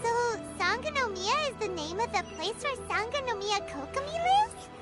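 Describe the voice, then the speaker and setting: A woman voicing a small, excitable childlike fairy companion with a squeaky, expressive voice in a video game. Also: normal speed, soft background music, exaggerated voice. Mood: curious. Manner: exclamatory, expressive. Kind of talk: video game character dialogue.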